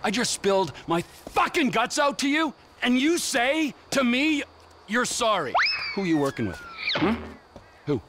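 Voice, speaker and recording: An actor playing a volatile middle-aged drug dealer in a video game cutscene, menacing and profane, swinging from quiet to yelling. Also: male voice, very high-pitched, big pitch swings, a little slow, full character voice. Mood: furious.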